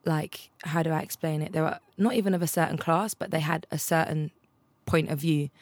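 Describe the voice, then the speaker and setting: A woman's voice: sits low, fairly even intonation, a little fast, no echo. A twenty-one-year-old woman, chatting casually as an interview guest, laughing often and acting out the voices in her stories.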